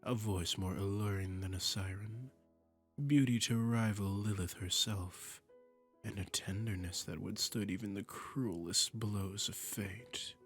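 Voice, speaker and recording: A man's voice: fairly low pitch, steady tone, measured pace, faint music behind. A man playing a seductive, commanding demon prince in a romantic roleplay audio drama, speaking in a silky, imperious way and often dropping into a murmur. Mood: seductive, admiring. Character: seductive, commanding male demon prince claiming a captive singer.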